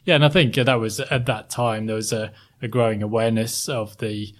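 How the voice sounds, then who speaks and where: A middle-aged man from Sheffield with a softened, well-spoken Northern English accent, answering interview questions with many ums and restarts. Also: on the low side, fairly even intonation, fairly quick. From Sheffield, England. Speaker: guest, former chartered accountant.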